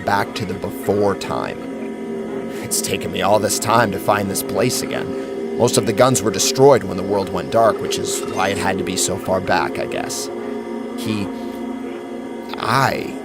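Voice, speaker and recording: A weary middle-aged man, acted by a male narrator in a horror story, bitter and fatalistic. His voice is gravelly and urgent, with music playing underneath. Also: sits low, measured pace, pitched above the performer's own voice. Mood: weary resignation. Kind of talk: dramatic horror story reading.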